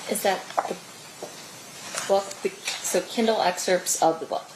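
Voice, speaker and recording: A woman's voice: medium pitch, unhurried. A woman presiding as judge, giving brief procedural rulings and questions. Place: courtroom. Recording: echoey courtroom, clear noise.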